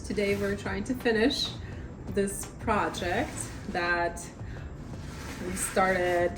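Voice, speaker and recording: A woman in her thirties chatting casually in a vlog at her table at home, rambling and self-deprecating, her voice slightly raspy from talking too much. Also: mid-range voice, slow, background noise. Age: 30s. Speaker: vlogger.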